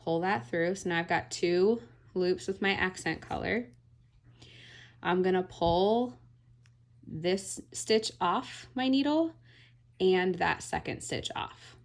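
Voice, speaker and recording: A woman giving a relaxed, step-by-step knitting tutorial, often checking in with "all right?". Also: fairly low pitch, takes it slowly. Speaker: knitting instructor.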